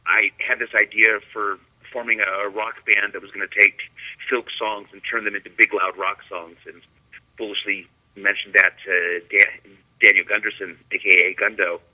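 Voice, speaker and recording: A man reminiscing casually as a guest on a call, halting and often repeating his words. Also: fairly low pitch, level tone. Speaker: guest musician. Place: remote call into the show. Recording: dull, lo-fi call audio.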